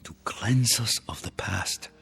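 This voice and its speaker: An actor playing a vengeful, proud revolutionary leader in a radio drama, theatrical, deliberate and menacing. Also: male voice, lowish voice, vocal fry, a bit bright, husky, heavily acted. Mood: cold resolve. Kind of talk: old-time radio drama acting. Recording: long hall reverb, music underneath. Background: dramatic music.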